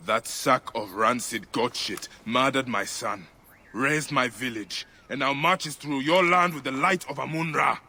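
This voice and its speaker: An actor playing a brooding, vengeful Egyptian warrior in a video game, gravelly, grave and intense. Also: male voice, medium pitch, measured pace, pushed and bright, a little hiss or hum. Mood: bitter fury. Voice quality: gravelly, husky. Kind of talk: dramatic video game acting.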